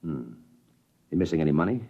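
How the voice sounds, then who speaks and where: An actor playing a stubborn, emphatic American reporter in a 1950s radio drama. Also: male voice, a bass voice, big pitch swings, exaggerated voice. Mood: concerned, firm. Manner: brisk, emphatic, sceptical. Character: stubborn, ambitious male newspaper reporter. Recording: dull 1950s radio recording with music.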